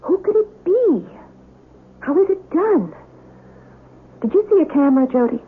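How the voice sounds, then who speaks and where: An actress playing an anxious but defiant film star caught in blackmail, in old-time radio drama style. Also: female voice, sits high, very animated, measured pace, narrow, tinny audio. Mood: indignant. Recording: thin, band-limited old radio broadcast.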